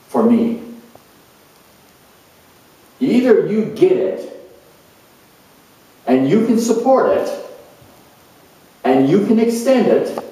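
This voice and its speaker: A man acting out a vain, self-important company boss in a solo stage dramatization, theatrical and grandiose with dramatic pauses. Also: high-pitched, a little mellow, exaggerated voice. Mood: boastful, imperious. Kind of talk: solo astrological character dramatization. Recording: echoey room, distant microphone.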